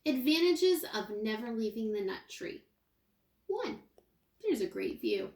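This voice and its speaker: A woman with a fairly high voice reads a picture book to young children as their teacher. She takes it very slowly and expressively, stopping to put questions and asides to her listeners. The sound is roomy and echoey. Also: very animated, darkish tone, a little hiss or hum. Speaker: kindergarten teacher.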